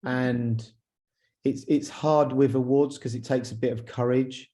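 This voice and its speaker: A man's voice: medium pitch, steady tone, measured pace, mellow. A man acting as interviewer, informally hosting an online conversation in a tentative, conversational way. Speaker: interviewer, awards chair. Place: video call.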